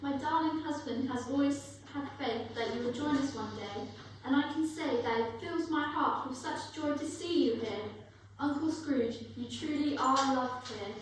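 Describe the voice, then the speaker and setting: In a very echoey room, away from the mic, a polite young Victorian woman played by an actress speaks in a high voice. She is formal and welcoming, with faint noise behind her. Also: normal speed, lightly acted. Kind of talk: dramatic stage reading. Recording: echoing hall, distant mic, faint noise.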